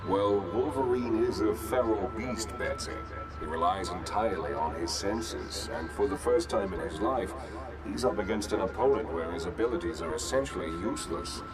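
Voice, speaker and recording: A man playing a self-assured older professor and mentor in a motion comic, speaking in a measured, articulate, lecturing way. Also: on the low side, loud music behind the voice, close to an everyday voice. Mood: assured, explanatory.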